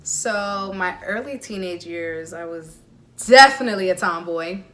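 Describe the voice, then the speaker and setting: A woman's voice: fairly low pitch, a little slow, fairly bright tone. A young woman, talking candidly as an interview guest, laughing often and dropping "like" and "you know" between phrases. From New York. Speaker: interview guest, makeup artist. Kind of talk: casual interview chat.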